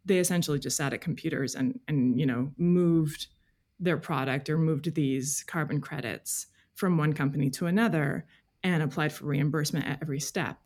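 A woman journalist speaking as an interview guest, explaining things in a measured, detailed, conversational way. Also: deep voice, darkish tone. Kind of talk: conversational interview, recounting reporting.

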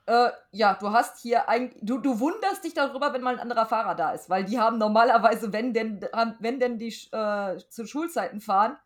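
A woman's voice: a little high, fairly quick, hardly any pauses. A woman co-hosting a podcast in loose, casual conversation. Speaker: podcast co-host.

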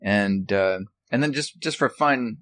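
A man's voice: lowish voice, unhurried, a bit bright, dry recording. A man chatting casually and telling stories about himself in a self-deprecating way. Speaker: podcast guest and author. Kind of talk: casual reminiscing chat.

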